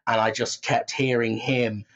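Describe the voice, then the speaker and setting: A man's voice: medium pitch, level tone, measured pace, lo-fi sound. A man chatting casually in an interview as a writer and actor of audio drama.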